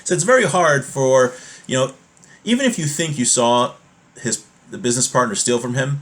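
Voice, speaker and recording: A man giving an informal religious lesson, explaining step by step in a halting way and rephrasing his points as he goes. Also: mid-range voice. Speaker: religious teacher giving a class.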